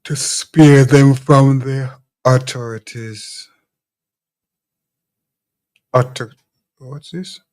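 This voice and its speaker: A man's voice: mid-range voice, fairly even intonation, drawn-out words, long pauses, darkish tone. A Jamaican man hosting a live talk show, reading viewer comments aloud and answering them bluntly, often restarting his phrases. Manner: blunt, combative replies to commenters; loose, repeats and restarts phrases. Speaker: show host.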